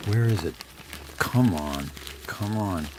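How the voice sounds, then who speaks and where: A man's voice: lowish voice, expressive, slow, background noise. A man playing a confused, frightened but defiant dead man who questions and mutters to himself in a horror audio drama. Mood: anxious, desperate.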